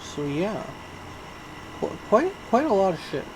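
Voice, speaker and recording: A man's voice: high-pitched, big pitch swings, a little slow, background noise. A man co-hosting a casual movie review podcast, laid-back and hesitant. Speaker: podcast co-host.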